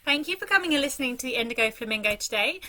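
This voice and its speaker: A woman's voice: high-pitched, animated, very fast, pushed and bright. A woman reading a children's story aloud in a dramatic, theatrical storytelling manner.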